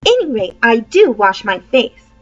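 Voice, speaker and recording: A woman's voice: sing-song, measured pace, muffled recording, faint music behind. A woman reading aloud voices a curious, outspoken young girl who speaks eagerly and a little indignantly. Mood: pert. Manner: eager and indignant, pitched up and louder than the narration.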